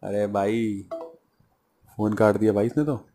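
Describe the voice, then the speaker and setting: A young man talks in a casual, conversational way, as if filming a vlog. His voice sits fairly low and stays quite flat in melody, even when he argues. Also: a little slow, a little hiss or hum. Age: 20s. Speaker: prank-call vlogger. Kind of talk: casual chat and phone argument. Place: small furnished room at home, indoors. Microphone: small clip microphone held near the mouth.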